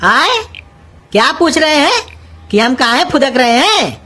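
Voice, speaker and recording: A woman's voice: high-pitched, sing-song, takes it slowly, soft background music, exaggerated voice. A woman voicing a silly, half-deaf young male cartoon helper, whiny and naive. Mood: puzzled, cheeky. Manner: whiny, naive, loudly querulous. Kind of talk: cartoon comedy dialogue in rustic Hindi.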